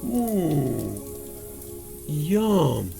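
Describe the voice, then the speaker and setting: An older man with a gravelly voice reads a storybook aloud to children as Santa, gently and full of wonder, addressing them directly. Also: a little high, very animated, extremely slow, music in the background.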